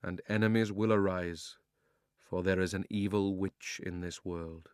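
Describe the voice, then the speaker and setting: A majestic talking lion king from a storybook speaks slowly and gravely, as a man reads him aloud. His words are low and commanding, and the recording is dry and close. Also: steady tone, darkish tone, no room sound. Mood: solemn. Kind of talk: storybook reading.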